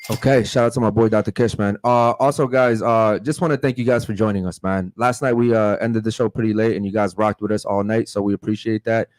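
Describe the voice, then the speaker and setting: Fast-talking podcast host, low voice. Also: male voice, steady tone, dry recording. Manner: loose, laughs often, says "man" a lot. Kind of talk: casual podcast chat.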